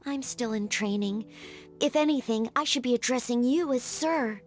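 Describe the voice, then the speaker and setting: A woman's voice: fairly high pitch, normal speed, soft background music, close to an everyday voice. A woman voicing an earnest young female character in a fantasy video game.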